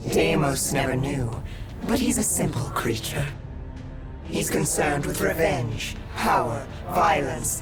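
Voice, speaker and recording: A woman voicing a sly, bored demigoddess of deception in a video game, theatrical and mocking. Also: low-pitched. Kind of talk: video game cutscene acting.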